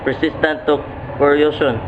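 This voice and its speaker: A man playing an earnest, serious chemistry professor in an amateur radio play, lecturing in a deliberate, emphatic way. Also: on the high side, flat delivery, lightly acted. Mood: admiring, wistful. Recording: tinny phone-like audio, noisy background.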